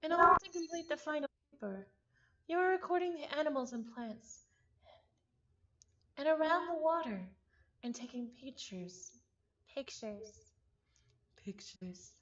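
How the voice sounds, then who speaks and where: A woman with a bright, high voice reads a visual novel aloud at an unhurried pace. She is an expressive streamer who readily breaks from narration into chatty, exasperated commentary. The microphone sound is slightly dull and lo-fi.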